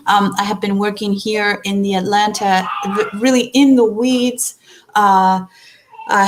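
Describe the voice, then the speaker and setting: A woman's voice: on the high side, noisy background. A woman from Chile, a guest on a webinar interview, talking about her work with startup founders in an upbeat, eager way. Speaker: guest speaker, startup ecosystem builder.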